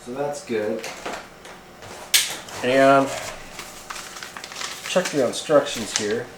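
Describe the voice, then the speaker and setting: A man hosting a casual, unscripted gear review at home, talking in a halting, rambling, folksy way. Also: medium pitch. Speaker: video host reviewing radio gear. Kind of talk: casual unscripted product unboxing and demo. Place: indoors in a home kitchen. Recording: echoey room with faint noise.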